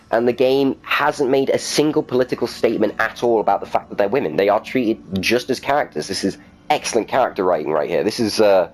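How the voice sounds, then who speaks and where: A man's voice: on the low side, a little mellow, low-quality recording. A man hosting a gaming video, chatting casually and reading documents aloud in a playfully self-mocking way. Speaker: gaming video host and reader.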